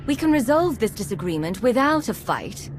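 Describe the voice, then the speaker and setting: An actress playing a composed, authoritative secret agent commander in a video game, firm and diplomatic. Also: female voice, on the high side, big pitch swings, moderate pace, soft background music. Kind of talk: video game cutscene acting.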